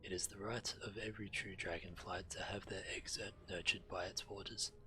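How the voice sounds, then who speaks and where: A man's voice: low voice, little pitch movement, moderate pace, sharp tone, soft background music. A male game streamer reading fantasy quest dialogue aloud and adding the odd comment.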